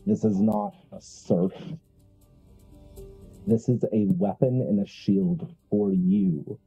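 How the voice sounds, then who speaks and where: Muffled, narrow-band audio of a man playing a solemn heir to a dark throne in a horror roleplaying game. The voice is husky and very slow, grave and ceremonial in its commands. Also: lowish voice, huge pitch swings, soft background music, heavily acted. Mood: solemn, commanding.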